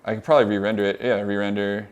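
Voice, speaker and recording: A young man with a Californian accent, casually demonstrating audio software on a live stream, rambling excitedly with lots of "like" and laughs. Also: fairly low pitch, very fast. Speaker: audio mentor.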